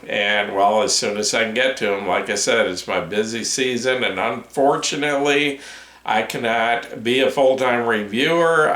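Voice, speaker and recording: A man hosting a casual update vlog, rambling with many fillers, his voice tired and going hoarse. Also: fairly low pitch, fairly bright tone. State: hoarse, voice giving out. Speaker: headphone reviewer, channel host. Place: home room with his desk. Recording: roomy echo.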